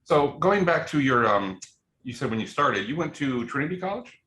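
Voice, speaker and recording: A man's voice: medium pitch, very animated, normal speed, bright, forceful tone. A man hosting an interview, asking questions with brief backchannels and playful teasing. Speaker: interview host. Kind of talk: casual interview. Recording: echoey room.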